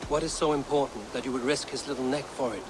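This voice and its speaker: A man's voice: medium pitch, steady tone, fast, background noise, close to an everyday voice. A man playing a stern, wry Viking warrior, speaking in terse, dry challenges in a video game scene. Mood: wry, probing. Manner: probing, terse, dryly confrontational. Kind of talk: video game cutscene acting.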